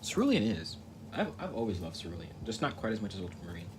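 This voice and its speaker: Soft-spoken young man with a deep voice. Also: big pitch swings, quick pace. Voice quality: stutter. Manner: rambling, self-reflective, with repeated words and restarts. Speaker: livestreaming painter. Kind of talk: casual livestream chat while painting. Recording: noticeable ambient noise.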